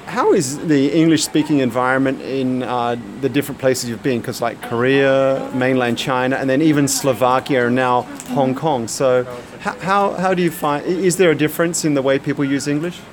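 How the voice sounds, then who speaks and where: A man interviewing someone, halting and repeating words as he forms his questions. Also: mid-range voice, steady tone.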